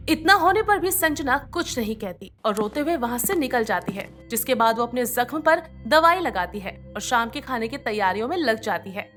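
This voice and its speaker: High, lively woman narrating a story. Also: moderate pace, few pauses, faint music behind. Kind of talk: scripted narration of a moral bedtime story.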